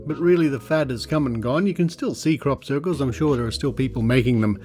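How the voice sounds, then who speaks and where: A man's voice: mid-range voice, a little fast, over music. An Australian man hosting a podcast, talking casually and reading aloud in a wry, skeptical manner. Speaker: podcast host.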